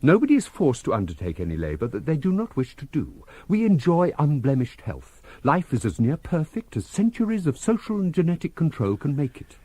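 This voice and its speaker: An actor playing a genial, very old spacefaring scientist in a radio drama, speaking in a formal, measured, commanding way. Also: male voice, fairly high pitch, wildly swooping pitch, mellow, no room sound. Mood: grave, eloquent.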